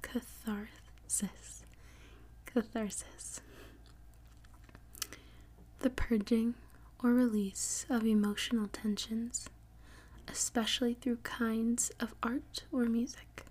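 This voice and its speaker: Slow, breathy whispering woman. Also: on the high side, little pitch movement. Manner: soft, unhurried, repeats and spells words slowly. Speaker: podcast host. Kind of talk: whispered ASMR word reading for sleep.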